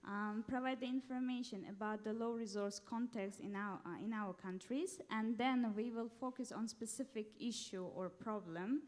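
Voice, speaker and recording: A woman's voice: fairly high pitch, moderate pace, few pauses, darkish tone. A young woman from Uzbekistan giving a formal conference presentation into a handheld mic in a large hall, careful and hesitant with fillers.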